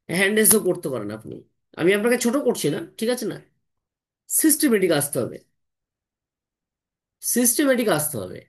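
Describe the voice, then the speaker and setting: Man hosting an online team briefing. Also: high voice. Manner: repetitive, with frequent tag questions and English words mixed in. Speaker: host of an online team meeting.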